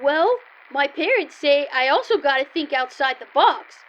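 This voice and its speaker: A woman's voice: high-pitched, animated, moderate pace, highs cut off. A woman playing an earnest, naive little boy full of schemes in an old-time style radio comedy sketch. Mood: naive, eager. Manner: earnest, innocent, matter-of-fact.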